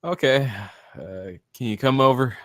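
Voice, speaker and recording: A man's voice: mid-range voice, unhurried, a bit bright, no echo, close to an everyday voice. An actor playing a troubled, unraveling man haunted by guilt in a screenplay table read. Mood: evasive, casual.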